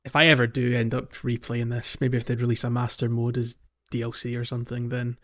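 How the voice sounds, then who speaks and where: A man hosts a casual gaming podcast, talking through gameplay in an informal way. His voice sits at a middling pitch, and the recording is slightly dull and lo-fi. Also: completely flat delivery, fairly quick. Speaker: podcast host. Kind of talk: casual video game discussion, explaining gameplay.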